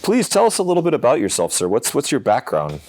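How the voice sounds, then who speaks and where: A man's voice: mid-range voice, wildly swooping pitch, fast talker, darkish tone. A man, a chaplain hosting a podcast, who greets his listeners and asks his guest questions in a friendly, upbeat way.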